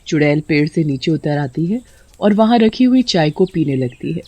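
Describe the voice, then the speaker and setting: Mellow woman narrating a story. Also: low-pitched, expressive, normal speed, breathy edge, no room sound.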